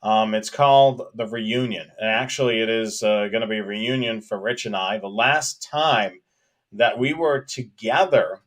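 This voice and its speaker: A man's voice: fairly low pitch, moderate pace. A man co-hosting a casual live stream for radio hobbyists, talking in a hesitant, rambling way and repeating phrases as he goes. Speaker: co-host of a live hobby show about police scanners.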